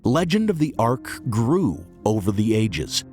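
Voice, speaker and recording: A hoarse man narrating a history podcast in a measured, earnest way. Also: on the low side, sing-song, a little mellow, faint music behind. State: hoarse, recovering from illness. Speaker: podcast host.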